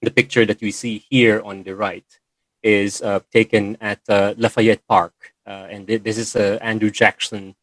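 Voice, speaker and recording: Guy hosting a video call, lowish voice, lots of uhs and ums, dry sound. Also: flat delivery, a little slow, a bit bright, no echo, rough recording. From Philippines. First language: Filipino. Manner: hesitant, many fillers and restarts. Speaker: session host and moderator. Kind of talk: online meeting presentation.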